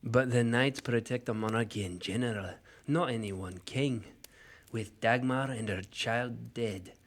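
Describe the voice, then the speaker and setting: A man's voice: mid-range voice, measured pace, natural voice. A man playing a stern male knight who guides a group and gives curt commands.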